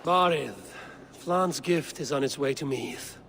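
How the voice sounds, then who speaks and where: A man's voice: on the high side, slow, a bit bright, a little hiss or hum. A man playing a shrewd, blunt Viking warrior and loyal kinsman in a video game cutscene. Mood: businesslike. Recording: music under the dialogue.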